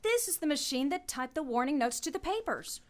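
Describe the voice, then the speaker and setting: Margot Lane is a faithful, anxious assistant in an old-time radio drama. Her fairly high voice sounds cautious and worried, often pleading with her partner. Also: very animated, a little fast, a little hiss or hum. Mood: reasoning. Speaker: radio actress.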